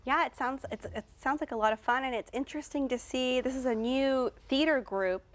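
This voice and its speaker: A woman's voice: high-pitched, brisk. An American woman hosting a local broadcast interview in a conversational presenting style.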